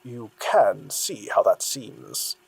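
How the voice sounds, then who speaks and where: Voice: medium pitch, sing-song, slow, vocal fry, breathy edge, dry recording, full character voice, poor audio. An actor playing a cool, sceptical interrogator who probes a witness sardonically in an audio drama. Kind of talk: dramatic audio fiction acting.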